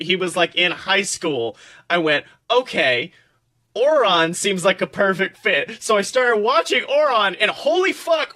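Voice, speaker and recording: An animated man with a notably high-pitched voice, hosting a podcast. He chats casually and enthusiastically, swearing freely as he goes. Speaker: podcast host. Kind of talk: casual chat and fan fiction reading.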